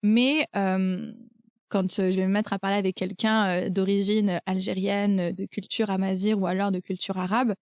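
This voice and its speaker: A young French-accented woman hosts a relaxed conversation, breaking her sentences with frequent little hesitations. Her voice sits at an ordinary middle pitch, recorded close and dry. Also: moderate pace, dry recording. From France. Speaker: podcast host. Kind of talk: casual conversation.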